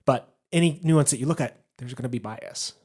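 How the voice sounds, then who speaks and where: A man's voice: mid-range voice, fairly quick, a little mellow, husky. Man co-hosting a casual podcast. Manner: explains points at length, laughs easily. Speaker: podcast co-host.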